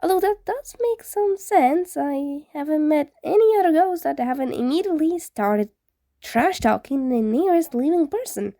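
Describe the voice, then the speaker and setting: Woman playing teenage ghost boy, friendly, emphatic, eager, high and raised, storybook reading. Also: animated, normal speed, mellow, no echo, clean studio sound. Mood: assured, emphatic. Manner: emphatic, eager, casual teen speech.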